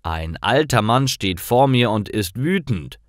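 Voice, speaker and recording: A man reads learner stories as a scripted narration. His voice is low and lively in intonation, with very slow, deliberate pacing. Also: no room sound, clean studio sound.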